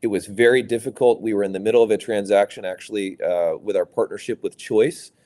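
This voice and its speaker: A middle-aged man from Louisiana, a business executive answering interview questions in a measured way, with many fillers and restarts. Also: lowish voice, steady tone, mellow. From Louisiana, USA. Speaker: private equity executive, guest.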